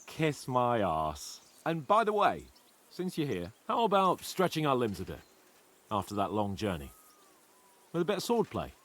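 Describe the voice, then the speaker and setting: A man's voice: medium pitch, wildly swooping pitch, moderate pace, fairly bright tone. An actor playing a cocky, teasing young nobleman in a game cutscene, joking and boastful with his friend. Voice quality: playful, mocking.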